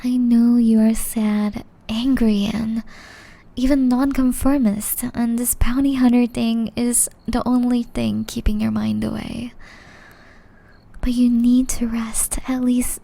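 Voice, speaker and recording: A woman acts out a gentle, ageless witch exiled to the forest, caring and wistful. Her voice sits fairly high yet stays soft, dark-toned and breathy, often slipping into a whisper. She takes her time, pleading tenderly in an intimate ASMR roleplay style. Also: level tone, exaggerated voice. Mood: concerned, pleading. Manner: slow, tender, earnest pleading.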